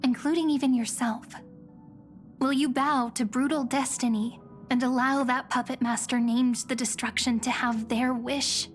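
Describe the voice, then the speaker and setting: A wistful young female companion from a video game, acted by a woman. Her voice is lightly high, soft and earnest, with a lyrical storyteller's manner over background music. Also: steady tone, normal speed, natural voice. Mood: earnest, challenging. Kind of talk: scripted video game voice acting.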